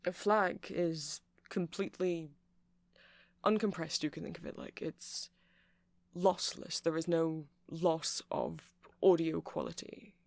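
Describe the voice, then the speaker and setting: A man playing a nerdy male vampire in an ASMR roleplay, rambling haltingly and passionately to a listener as he explains things. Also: a little high, steady tone, creaky, darkish tone, no echo. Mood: enthusiastic explaining.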